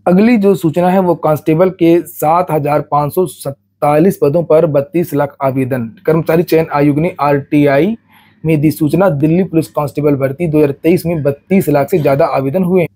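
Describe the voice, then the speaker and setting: A man with a slightly high, husky voice reads news notices aloud. His tone is soft-edged and mellow, and he explains each item plainly and matter-of-factly as a presenter. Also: moderate pace. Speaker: exam and recruitment news presenter.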